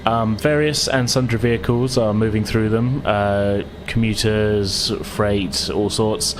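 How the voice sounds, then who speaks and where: A man's voice: on the low side, steady tone, takes it slowly, background noise. A man running a tabletop game as game master, improvising descriptive narration in an informal, hesitant way. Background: loud music, vehicle sounds.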